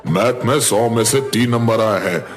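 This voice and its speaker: A man's voice: on the low side, a little slow, soft background music, natural voice. A man playing a stern, authoritative schoolteacher who admonishes his class in a cartoon. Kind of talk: Hindi cartoon dialogue.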